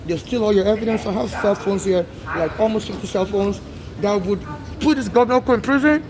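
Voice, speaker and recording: A man's voice: high-pitched, brisk, crowd noise, rough recording. A man from Nigeria with a Nigerian-tinged American accent, talking in an urgent, repetitive street monologue with many restarts. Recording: street noise outdoors.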